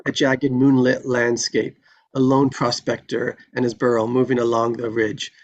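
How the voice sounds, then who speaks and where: Gravelly man reading screenplay directions. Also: mid-range voice, level tone, normal speed, muffled recording, a little hiss or hum. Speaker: reader of stage directions at a table read. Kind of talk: scripted screenplay narration, read aloud live.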